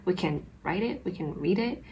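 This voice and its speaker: A woman's voice: on the low side, expressive, moderate pace, noisy background. A young woman co-hosting a casual podcast chat. She talks emphatically, with many fillers. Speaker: podcast co-host.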